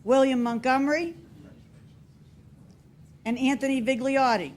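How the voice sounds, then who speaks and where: Female, Boston accent, fairly high voice, unhurried formal delivery of an awards roll call. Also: steady tone. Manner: deliberate, announcing each name separately. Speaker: school staff member presenting student awards. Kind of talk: reading out names at a formal meeting. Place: school board meeting room.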